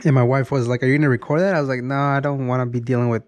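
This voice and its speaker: A man's voice: mid-range voice, fairly even intonation, very fast, a little mellow, no room sound. A man in his early thirties talking in a casual, rambling vlog style, often repeating and restarting his phrases. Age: early 30s. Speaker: car vlogger.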